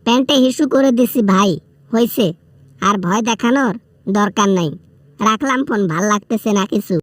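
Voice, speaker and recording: A man's voice: high voice, moderate pace, fairly bright tone, no echo. A man with a Bangladeshi accent playing a whiny, frightened prisoner in a comic phone-call skit, pleading, stammering and repeating himself. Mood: scared, flustered. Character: whiny, frightened male prisoner begging for mercy.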